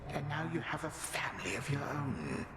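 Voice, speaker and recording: A man's voice: mid-range voice, very animated, moderate pace, close to an everyday voice. An actor playing an ancient, resentful titan father in a video game, mockingly genial and theatrical. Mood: mock pleasantry. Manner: mocking, theatrical, falsely pleasant. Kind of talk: video game villain acting. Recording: echoey with loud background noise.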